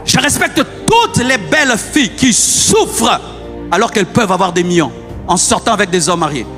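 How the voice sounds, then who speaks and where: The kind of voice heard at a Congolese church service, a male preacher pitched high, urging the congregation over and over, his words ringing long through the hall. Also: very animated, normal speed, fairly bright tone. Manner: exhorting, repetitive, rhetorical questions to the congregation. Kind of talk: fiery sermon. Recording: long hall reverb, music underneath.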